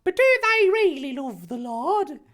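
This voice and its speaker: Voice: high voice, sing-song, measured pace, a bit bright, voice pushed up, exaggerated voice. A performer voicing a naive, earnest little boy in a story, asking innocent, tentative questions. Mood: timid, doubtful.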